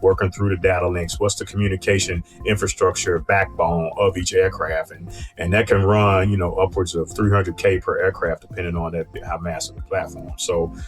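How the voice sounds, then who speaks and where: A man with a deep voice talks as a podcast co-host, with faint music behind him. He explains business matters in a loose, conversational way and often tags his points with a checking "right?".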